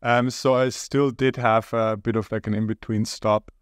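Guest, male, slow to find words, low even delivery, dry close sound. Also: clean tone, no room sound. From Austria. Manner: hesitant, halting, many pauses. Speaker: startup co-founder, podcast guest. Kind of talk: casual interview talk.

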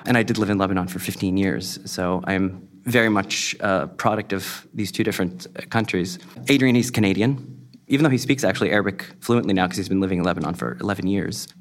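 A man's voice: low-pitched, fast talker. A young adult man, a musician, talking casually in an interview, rambling a little with many fillers. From United States. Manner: relaxed, rambling with frequent fillers.

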